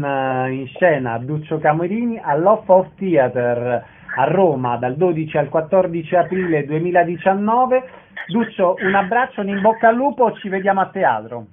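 A man's voice: fairly high pitch, background noise. A man hosting a radio interview, introducing guests and announcing show details. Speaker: radio host. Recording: narrow phone-like band.

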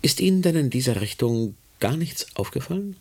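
An actor playing a guarded, formal medical researcher in a German radio play, gravelly and measured, with some hesitation. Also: male voice, medium pitch, very animated, darkish tone. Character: guarded, evasive medical researcher questioning a woman.

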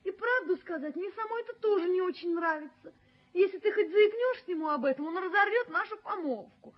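An actress playing a proud, easily wounded young fiancée in a radio play, speaking with theatrical, imperious emotion. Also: female voice, very high voice, animated, fairly quick. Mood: hurt, accusing. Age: young adult. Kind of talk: Soviet radio play acting. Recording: dull, muffled 1970s radio recording.

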